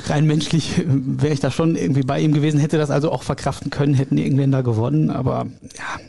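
A man, a sports journalist, chatting about football on a podcast in a quick, hedging way. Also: a little high, fairly even intonation, creaky, warm tone. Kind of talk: casual football podcast chat.

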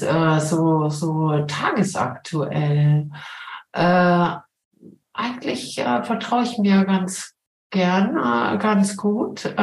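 A woman's voice: mid-range voice, darkish tone. A middle-aged woman from Germany, a professor talking as a podcast guest in a reflective way, with many hesitations. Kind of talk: casual interview talk. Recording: echoey room.

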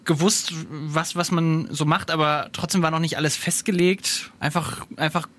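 A man's voice: on the high side, steady tone, moderate pace, a bit bright. A young man co-hosting a podcast, chatting casually and searching for his words. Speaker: podcast co-host.

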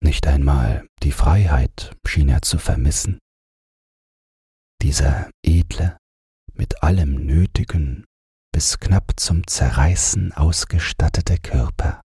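A man narrating a literary audiobook for bedtime, in a calm, measured storytelling manner. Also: extremely low pitch, breathy edge, no room sound, clean studio sound.

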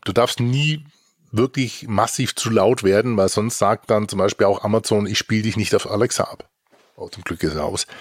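A man's voice: fairly low pitch, no room sound, studio quality. A middle-aged man co-hosting a casual podcast review, talking in a nerdy, technical way. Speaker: podcast host.

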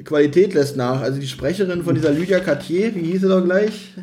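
A man's voice: fairly high pitch, brisk. A man co-hosting a podcast, casually discussing an audio drama episode in an opinionated, critical way. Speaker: podcast co-host.

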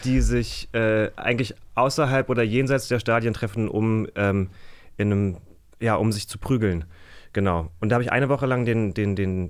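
A man speaking as an interview guest in casual conversation, hesitant and self-effacing as he searches for words. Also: fairly low pitch. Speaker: podcast guest, actor.

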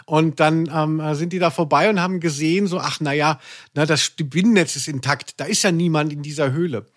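A man's voice: on the high side, a little fast, no room sound, studio quality. A man chatting casually as a podcast co-host, digressing hesitantly as he goes. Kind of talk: casual chat.

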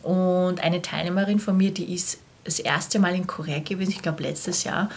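A woman's voice: on the low side, fairly even intonation, normal speed, slight background noise. A woman with an Austrian accent in a casual podcast conversation, speaking candidly as a teacher, with blunt slang and acted-out quotes. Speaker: language teacher.

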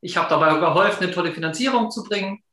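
A man's voice: high voice, fast talker, sharp tone. A man working as a financial advisor, talking enthusiastically about his work. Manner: enthusiastic, talkative. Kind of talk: casual chat. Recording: echoey room.

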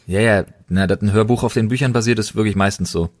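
Male co-host, lowish pitch, very dry sound, casual podcast conversation. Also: quick pace, no echo.

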